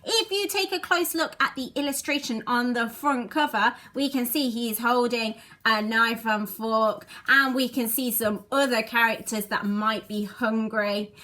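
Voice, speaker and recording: A woman teacher reading a children's story expressively, with deliberate, child-directed delivery. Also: a little high, bright, forceful tone.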